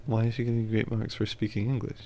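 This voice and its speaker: Low, gravelly man with dry teasing banter. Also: little pitch movement, fast, dark tone, a little hiss or hum. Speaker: podcast co-host. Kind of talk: casual chat.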